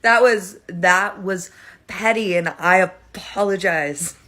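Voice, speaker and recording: Bright, wry woman telling personal stories. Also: lowish voice, slow. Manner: wry, self-mocking, chatty. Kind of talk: casual personal storytelling.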